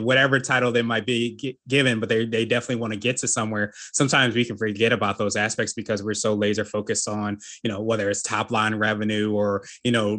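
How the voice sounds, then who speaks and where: A man hosting an interview, affirming his guest in a quick, filler-heavy way with many restarts. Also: fairly low pitch, sharp tone. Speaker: interview host. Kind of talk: conversational interview.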